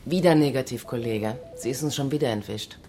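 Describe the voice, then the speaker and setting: A woman's voice: rumbling low, a little fast, dark tone, soft background music. An actress playing a dry, sceptical, matter-of-fact policewoman in a radio crime drama. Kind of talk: modern German radio crime drama acting.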